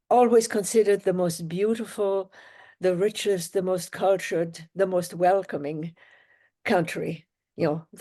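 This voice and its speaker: An older woman from Germany speaking as an interview guest. Her voice is mellow and warm, and she recalls her memories slowly and with care, pausing now and then. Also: mid-range voice. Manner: reflective, measured, with pauses. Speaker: guest, Holocaust survivor. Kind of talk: interview storytelling.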